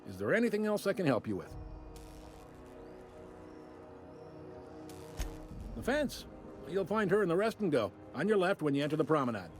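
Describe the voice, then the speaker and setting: Droll, high-pitched, swooping folksy customs man. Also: sing-song, fast talker, music in the background. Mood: helpful. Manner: drawling, droll, casually apologetic. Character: wry, folksy male space-station customs officer. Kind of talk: video game character acting.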